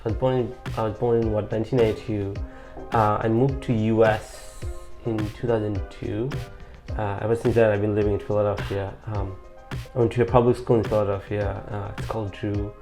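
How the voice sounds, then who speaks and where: A man's voice: on the low side, flat delivery, fairly quick, warm tone, soft background music. Rambling, hesitant young man from Bangladesh. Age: late 20s. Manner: rambling, hesitant, many restarts and fillers. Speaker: interview guest, squash coach. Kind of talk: casual interview storytelling.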